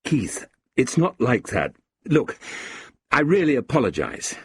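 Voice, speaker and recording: A man voicing a bewildered, polite upper-class gentleman who speaks mildly and apologetically, with a husky voice. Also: medium pitch, very animated, unhurried, no echo, pitched above the performer's own voice, heavily acted. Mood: puzzled. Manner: mild, apologetic and puzzled.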